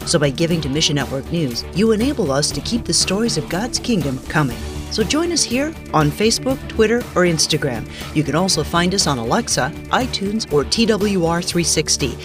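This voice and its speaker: A woman's voice: lowish voice, big pitch swings, a little fast, few pauses. Woman reading radio news over music. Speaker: news presenter. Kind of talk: scripted radio news narration.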